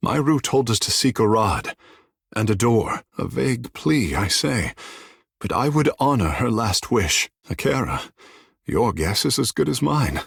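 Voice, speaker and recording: A vain young island demigod for a fantasy video game. His husky voice swings widely in pitch, theatrical and haughty yet playful, recorded close and dry. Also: big pitch swings, unhurried, dry recording, heavily acted. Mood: solemn. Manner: theatrical, haughty, playful, with a habitual verbal tag. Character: vain, devout young demigod water shaper of an island tribe. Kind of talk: video game character dialogue.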